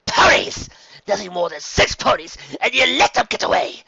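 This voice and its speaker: A man's voice: sits high, big pitch swings, moderate pace, creaky, bright, forceful tone, dull audio, pitched above the performer's own voice, heavily acted. A man voicing a cruel young stallion villain, berating and growling as an imperious leader in a fantasy story. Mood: furious.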